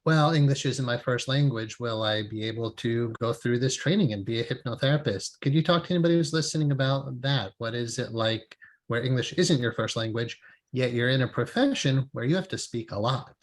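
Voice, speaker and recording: A man's voice: medium pitch, brisk. Man, podcast host, supportive, reflective questions, video call. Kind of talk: interview hosting.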